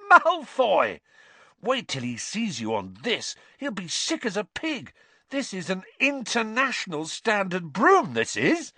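A man voicing a brash, excitable teenage schoolboy in an audiobook, impatient and full of slangy exclamations. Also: a little high, brisk, no echo, pitched above the performer's own voice, exaggerated voice. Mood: gleeful, excited.

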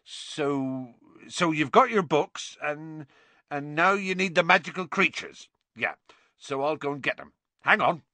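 Hearty, easily flustered giant gamekeeper for an audiobook, raised lively pitch, played by a male narrator. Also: breathy edge, no room sound, full character voice. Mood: crestfallen, unsure. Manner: hearty, booming calls, halting when unsure. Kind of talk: audiobook character voice.

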